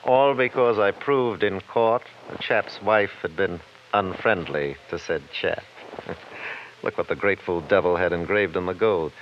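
A man's voice: low-pitched, unhurried. A man playing a smug, preening lawyer in a 1950s radio drama, with pompous, ornate and mocking phrasing. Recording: muffled, slightly noisy old radio recording.

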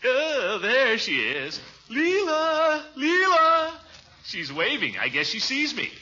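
An actor playing a pompous, excitable, portly middle-aged commissioner in a 1940s radio comedy, blustering as he talks. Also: very high voice, slow, full character voice. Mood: delighted. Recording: dull vintage radio recording.

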